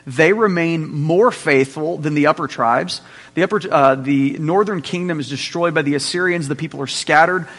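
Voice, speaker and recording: A man with a slightly higher voice teaches a Bible class in a relaxed, conversational way. He often checks in with his listeners as he explains. His voice carries a long reverb, as if recorded in a large hall.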